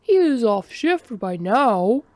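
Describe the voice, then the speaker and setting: A woman voicing a calm, stubborn male alien ecologist who speaks slowly and deliberately. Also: on the high side, no room sound. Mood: urgent. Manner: slow, deliberate and flatly dismissive.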